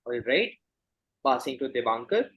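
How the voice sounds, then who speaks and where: A man hosting a quiz, reading questions and announcing results in a courteous, formal, measured way. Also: medium pitch, very animated, slightly rough, highs cut off.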